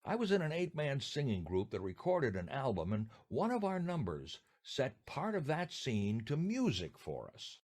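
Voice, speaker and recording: A man's voice: mid-range voice, wildly swooping pitch, normal speed, few pauses, darkish tone. An older American man from New Jersey co-hosting a podcast with jokey, theatrical scripted banter. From New Jersey, United States. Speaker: podcast co-host.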